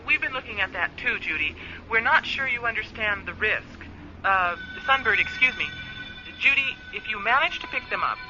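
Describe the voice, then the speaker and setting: A woman playing a friendly, candid space communications officer in a radio play, chatty and a little apologetic over a radio link. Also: mid-range voice. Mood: concerned, apologetic. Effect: radio transmission filter. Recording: dull band-limited radio link, music behind.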